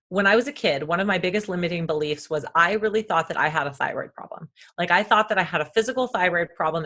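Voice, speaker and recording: Loud emphatic woman guest, lowish voice. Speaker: podcast guest. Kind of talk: conversational wellness talk.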